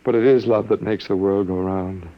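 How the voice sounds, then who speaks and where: Actor playing deep-voiced, wry American man, old radio drama. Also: steady tone, lightly acted. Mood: tender, coaxing. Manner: halting, musing, coaxing. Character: earnest, wry man, last survivor, gently wooing. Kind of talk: 1950s radio drama acting. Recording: muffled vintage 1950 radio broadcast.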